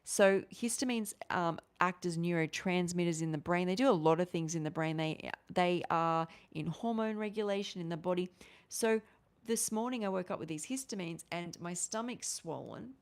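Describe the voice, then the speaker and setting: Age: 40s. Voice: low-pitched woman, rambling livestream chat. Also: moderate pace, clear, resonant tone. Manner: rambling, animated, restarts often, gestures with hands. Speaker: livestream host. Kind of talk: casual livestream chat answering viewers.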